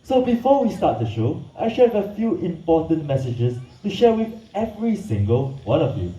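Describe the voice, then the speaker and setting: A man whose first language is Mandarin, presenting a live night animal show in an outdoor amphitheater, hyping the crowd and narrating in a theatrical, drawn-out way. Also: a little high, big pitch swings, dark tone. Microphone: PA system heard from the audience. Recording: echoey PA sound from far off.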